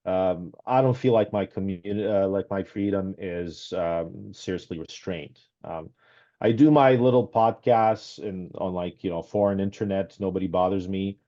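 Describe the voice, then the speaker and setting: A man raised in Canada, speaking as a guest on a video call interview through a headset, talking casually with many pauses and restarts. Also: low voice, warm tone. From Serbia, raised in Canada. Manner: hesitant, many ums and restarts. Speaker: guest, author. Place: small room at home. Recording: dull lo-fi video call audio.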